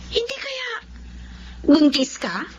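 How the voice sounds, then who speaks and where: A woman's voice: sits high, wildly swooping pitch, slow pace, muffled recording, exaggerated voice. A woman playing a frank, scolding friend in a drama, speaking with a Filipino accent. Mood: probing. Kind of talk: Filipino dramatic radio-style acting.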